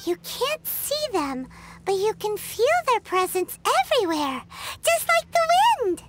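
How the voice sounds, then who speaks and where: A woman voicing a tiny, cheeky, childlike female fairy companion in a video game, squeaky and eager. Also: very animated, unhurried, background noise, heavily acted. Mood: wondering. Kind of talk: scripted video game dialogue.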